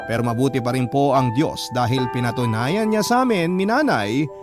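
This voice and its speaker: A Filipino man narrates a scripted personal story in radio style. His voice is medium-pitched, earnest and confiding, with music clearly playing underneath. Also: lively intonation, moderate pace.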